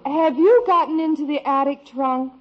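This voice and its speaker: Woman acting high-voiced, scolding radio sitcom mother. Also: normal speed, close to an everyday voice. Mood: alarmed. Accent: American. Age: middle-aged. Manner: reproving, earnest. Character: sensible, protective, gently scolding suburban mother. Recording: dull, hissy vintage radio recording.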